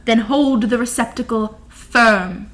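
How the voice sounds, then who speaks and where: A woman playing a stern, dramatic older woman in a theatrical drama, imperious, emphatic and deliberate. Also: fairly high pitch, noisy background. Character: stern, bossy, dramatic grandmother running an inn. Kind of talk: theatrical stage acting.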